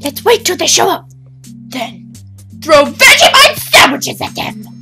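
A woman's voice: extremely high pitch, big pitch swings, measured pace, loud music behind the voice, full character voice. A woman playing a zany, brash young squad member in a hobby radio drama.

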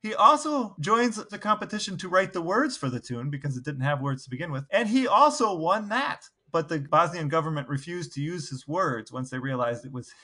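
A man's voice: a little high, big pitch swings, fairly quick, hardly any pauses, pushed and bright. A man co-hosting a podcast, telling anecdotes in a casual, wry, chatty way. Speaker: podcast co-host. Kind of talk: casual storytelling chat.

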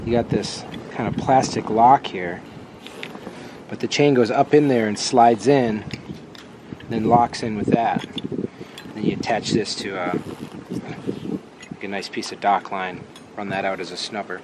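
A man's voice: on the low side, fairly even intonation, measured pace, pauses often. A man in his thirties hosting a sailing vlog, chatting and joking on camera while standing on a dock. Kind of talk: casual on-camera explaining. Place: outdoor marina dock beside boats. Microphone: camera mic from a few steps away. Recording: loud outdoor wind and harbor noise.

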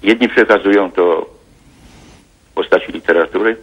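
A man calls in to a TV talk show, speaking formally and hesitantly, with reflective pauses. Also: low voice, a bit bright, slightly rough. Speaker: caller. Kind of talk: phone-in call to a TV talk show. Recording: dull phone line.

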